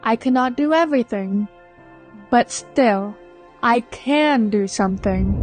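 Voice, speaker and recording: A young woman from the Philippines, a pageant contestant, giving an earnest speech and a measured scripted voice-over. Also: on the high side, darkish tone.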